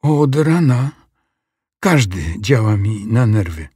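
A man's voice: mid-range voice, animated, takes it slowly, a little mellow, husky, no room sound, clean studio sound. A man voicing an upset, scolding little girl in a children's audio story, with stern, emphatic delivery. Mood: exasperated.

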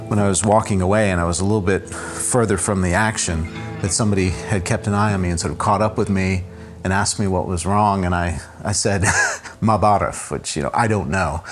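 A man telling the story of his own kidnapping in a conversation, halting and repeating himself with many fillers as he thinks aloud. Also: deep voice, music in the background. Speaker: interview guest recounting a kidnapping.

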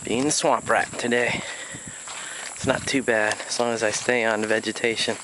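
A man's voice: medium pitch, flat delivery, moderate pace. A man filming himself on a swamp hike, chatting casually about the plants and wildlife he passes, with frequent ums and uhs. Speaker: hiker filming his walk. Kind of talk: casual narrated hike vlog. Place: outdoors in a swampy Florida wetland. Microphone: handheld or body camera, unseen speaker. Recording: outdoor camera audio with nature noise. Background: insects and crickets in open nature.

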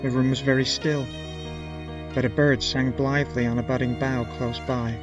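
A man's voice: medium pitch, level tone, normal speed, lightly acted. A man giving scripted literary narration in an audio drama. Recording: slightly dull sound under music. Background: music.

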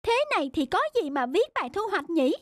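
A woman's voice: very high voice, sing-song, a little fast, no echo. A woman voicing a bored, grumbling young schoolchild in a cartoon, whiny and plain-spoken. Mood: bored, gossipy. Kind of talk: animated cartoon dubbing.

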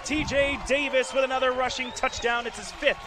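A man doing excitable live radio play-by-play of a football game, building from steady calls to shouts. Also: very high voice, a little fast, over music, a crowd in the background. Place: outdoor football stadium. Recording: noisy live outdoor stadium broadcast.

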